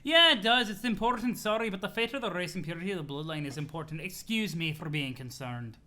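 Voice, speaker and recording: A pompous young troll played by a man with a silly Scottish accent, high-pitched and forceful. He rants with wounded, megalomaniacal indignation, in the manner of a comic webcomic reading. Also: lively intonation, fast talker, a little hiss or hum. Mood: melodramatic. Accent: put-on Scottish. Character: haughty, foul-mouthed, megalomaniacal young male troll sea dweller. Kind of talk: comic read-aloud of a webcomic.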